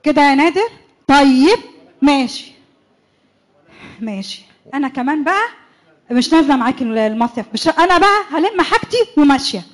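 A young woman with an Egyptian accent playing a jealous, suspicious wife in a church radio comedy-drama, talking in a sharp, needling way. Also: high voice, animated. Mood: accusing. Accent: Egyptian Arabic. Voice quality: sharp, needling, quick to accuse.

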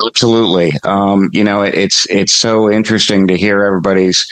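A man's voice: lowish voice, steady tone, a little fast, a bit bright, poor audio. A man co-hosting a podcast and interviewing guests in a conversational way.

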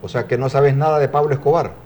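A man's voice: medium pitch, fairly even intonation, fast talker, a little mellow, slight background noise. A man hosting a TV show, asking teasing, probing questions in a playful, casual on-air chat. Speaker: TV host.

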